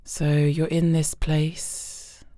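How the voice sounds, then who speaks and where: A woman guides a relaxation exercise in a very deep voice. Her tone is soft-edged and mellow, and she speaks slowly and soothingly, leaving space between phrases. The sound is close and dry. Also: completely flat delivery, dry recording. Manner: soothing, gentle, unhurried instruction with pauses. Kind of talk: guided body scan meditation.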